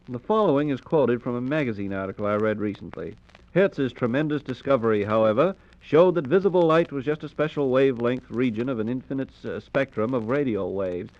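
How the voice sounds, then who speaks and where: An American man hosting a scripted 1940s radio program, speaking in a formal and courteous announcer's manner. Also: medium pitch. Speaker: radio host. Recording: muffled vintage 1940s radio recording.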